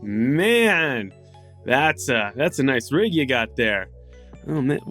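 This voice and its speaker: A man voicing an eager, scruffy car-wash hustler with halting, wheedling sales patter in a tabletop game. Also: medium pitch, big pitch swings, fairly bright tone, soft background music.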